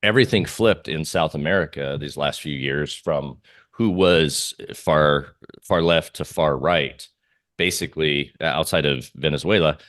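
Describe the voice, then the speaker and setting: A man with an extremely deep voice talks casually as an interviewed trader. He thinks aloud in a halting way, and the sound is dry with very little room. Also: a bit bright, no echo. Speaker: trader guest being interviewed. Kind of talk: casual interview talk on markets.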